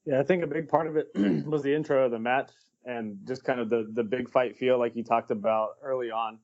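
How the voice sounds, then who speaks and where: A man with a medium-pitched voice talks through his opinions at an easy pace, blunt and offhand with casual curses. The podcast sound is a bit dull and lo-fi. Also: a little fast. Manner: relaxed, blunt, swears casually. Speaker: podcast co-host.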